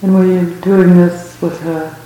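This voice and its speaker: Very slow-spoken woman in an echoey room. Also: lowish voice, little pitch movement. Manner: gentle, unhurried, with long pauses between short phrases. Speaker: meditation teacher. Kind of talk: guided meditation. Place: reverberant indoor room at a retreat. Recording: very echoey room, faint background noise.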